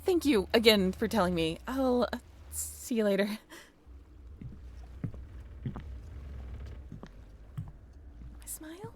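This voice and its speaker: Woman acting as slow-talking, flustered young woman. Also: fairly high pitch, big pitch swings, breathy edge, exaggerated voice. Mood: bashful, flustered. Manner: halting, bashful, conversational. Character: shy, flustered young woman, warm former college roommate. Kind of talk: scripted roleplay audio drama.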